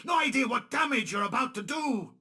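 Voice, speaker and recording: A man's voice: very high voice, lively intonation, fairly quick, sharp tone, husky, exaggerated voice. A man voicing a weary, bitter war veteran who narrates this game's story in a grave, reflective way. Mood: heated. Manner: grave, reflective narration, heated when arguing. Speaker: narrator and main character. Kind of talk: game cutscene narration and dialogue.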